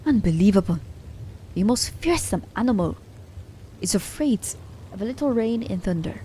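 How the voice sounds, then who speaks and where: A woman's voice: medium pitch, expressive, normal speed, dark tone, slight background noise, close to an everyday voice. A woman playing a sly, commanding assassin who teases her captive werewolf in an ASMR roleplay, with a haughty, teasing manner. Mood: incredulous, mocking.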